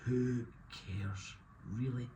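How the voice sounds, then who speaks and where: A man in his thirties, loud and opinionated with a self-deprecating streak, talking casually to camera about his business. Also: mid-range voice, steady tone, extremely slow, a little hiss or hum. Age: 30s. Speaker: business owner vlogging.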